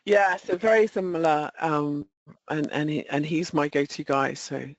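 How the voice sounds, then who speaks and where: A woman's voice: sits low, normal speed, dry recording. British female market analyst, conversational webinar delivery, close dry sound, slightly dull audio. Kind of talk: informal chart commentary. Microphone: webinar call.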